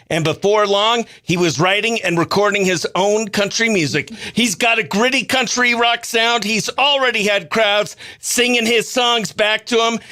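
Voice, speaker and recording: A man hosting a radio show, chatting in an informal, excitable, slangy way. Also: high voice. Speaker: radio host. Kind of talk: casual behind-the-scenes chat and upbeat radio interview.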